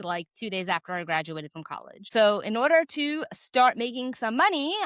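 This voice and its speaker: A woman in her forties with a naturally loud voice, frankly telling a personal story on her vlog with lots of casual fillers. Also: mid-range voice, no room sound. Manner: chatty, frank, many "like" fillers. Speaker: vlogger.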